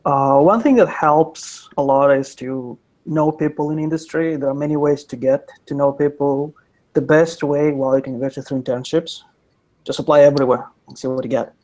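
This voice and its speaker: A man's voice: on the high side, steady tone, moderate pace, darkish tone, low-quality recording. Thoughtful man on a video call. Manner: thoughtful, pragmatic, with frequent restarts. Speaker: panelist from industry. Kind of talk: panel answer over video call. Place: office with orange wall. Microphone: video call webcam.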